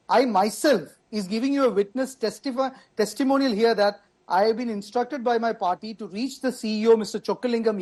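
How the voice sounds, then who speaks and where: A man's voice: extremely high pitch, a little mellow. A party spokesperson with an Indian English accent, arguing insistently on a TV panel debate and repeating words as he presses his point.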